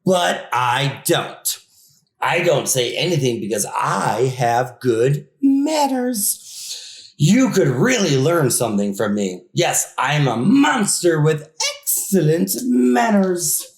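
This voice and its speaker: A man's voice: a little high, very animated, slow, full character voice. A man voicing a whiny, indignant monster with good manners, in a theatrical children's read-aloud style. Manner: theatrical, exaggerated complaining. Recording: echoey room.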